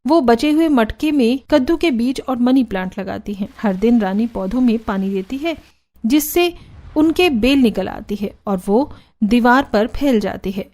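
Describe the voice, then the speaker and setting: A woman's voice: fairly high pitch, lively intonation, unhurried, darkish tone. A woman reading scripted storybook narration for a cartoon.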